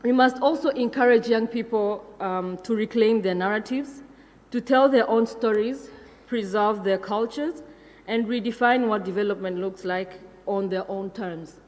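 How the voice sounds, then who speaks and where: A woman's voice: medium pitch, a little slow, a little mellow, in a large hall. A young woman from Rwanda gives a prepared conference speech, reading with fillers and self-corrections. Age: young adult. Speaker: conference speaker.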